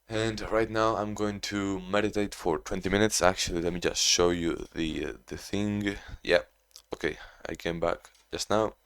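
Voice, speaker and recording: A young man with a deep, low voice talks through his day in a casual video diary. His delivery is monotone and meandering, full of restarts and loose asides. He sounds like he's recording at home. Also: normal speed, hardly any pauses, clean tone. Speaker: vlogger.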